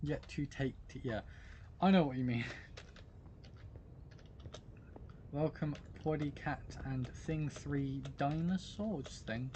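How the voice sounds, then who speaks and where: A man's voice: fairly high pitch, slow, pauses often, dark tone, slight background noise. A British man chatting casually with viewers while playing a game live, greeting them by name in a goofy way. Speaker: livestream gamer. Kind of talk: casual live-stream chat while gaming.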